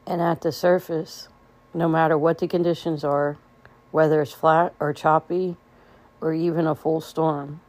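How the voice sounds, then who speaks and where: Low, mellow woman guiding a meditation. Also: little pitch movement. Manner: gentle, soothing, unhurried phrasing with pauses. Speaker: meditation guide. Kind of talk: guided meditation.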